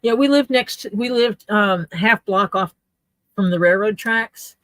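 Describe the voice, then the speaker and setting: A woman talks through her leatherwork on a livestream, chatty and unhurried as she explains each tool. Her voice sits at an ordinary middle pitch. The sound is dry and close, with no room echo. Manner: chatty, explains tools step by step while working. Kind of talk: casual livestream chat while crafting. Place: home workspace.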